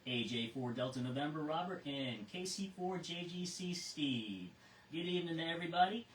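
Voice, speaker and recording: An American man acting as ham radio net control, reading procedures in a measured way with casual asides. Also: fairly high pitch, animated, fairly bright tone. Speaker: amateur radio net control operator and host. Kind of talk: reading a net script and chatting with check-ins. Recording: roomy, distant mic with faint noise.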